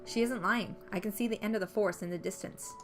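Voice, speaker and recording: A woman's voice: mid-range voice, fast talker. Woman reading a story over music. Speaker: game playthrough narrator. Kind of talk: reading visual novel narration aloud.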